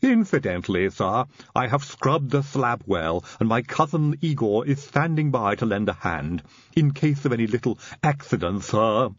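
Man doing a halting, obsequious eerie servant. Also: medium pitch, expressive, no room sound, dull audio, lightly acted. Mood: deferential. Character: deferential hooded Igor, eerie dutiful servant.

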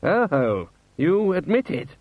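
A man acts out a deluded aristocratic army lord in an audiobook story. He is pompous, blustering and insistent, with a somewhat raised pitch. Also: big pitch swings, takes it slowly, a little mellow, breathy edge, dry recording, heavily acted. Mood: indignant, insistent.

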